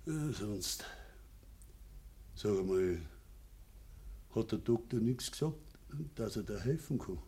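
An actor playing a proud, bitter old farmer in a radio play, speaking terse Bavarian dialect. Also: male voice, lowish voice, lively intonation, slow pace, pauses often, mellow, full character voice. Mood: low, troubled. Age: older. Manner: gruff, terse rural dialect speech. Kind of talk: naturalistic radio drama acting.

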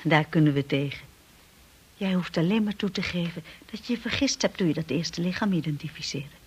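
A woman's voice: on the low side, animated, a little fast, darkish tone, husky. An actress playing a devoted, steadfast young wife and lady of the manor in a radio drama. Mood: supportive. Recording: noisy old radio play recording.

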